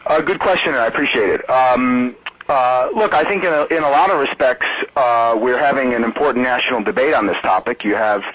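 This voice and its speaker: An American man, a campaign spokesman, answering reporters on a conference call in a halting, measured way. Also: medium pitch, fairly bright tone, no room sound, low-quality recording. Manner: halting, measured, hesitant delivery.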